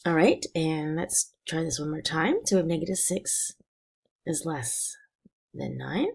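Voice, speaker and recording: A woman teacher explaining a math lesson step by step, her voice raspy and hoarse from being sick. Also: fairly low pitch, a little mellow. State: hoarse from illness.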